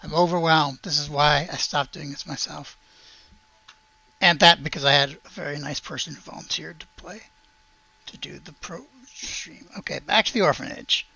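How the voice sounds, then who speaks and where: A man narrating a tabletop roleplaying game as game master, halting as he searches for words. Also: a little high, vocal fry, bright, forceful tone, husky, lo-fi sound.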